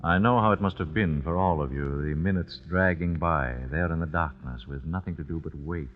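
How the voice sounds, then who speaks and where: An actor playing a grave father who recounts a tragedy in a measured, somber way, in the style of old radio drama. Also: extremely low pitch. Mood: grim, somber. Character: grave father grimly recounting his son's elevator tragedy. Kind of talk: 1940s radio drama narration. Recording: muffled old radio recording with music. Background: dramatic music.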